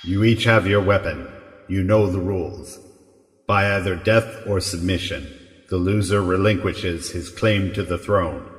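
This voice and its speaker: An actor playing a solemn intermediary at a royal duel, formal, grave and ritualistic, in an audio drama. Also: male voice, low-pitched, a little slow. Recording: long hall reverb, distant timbre.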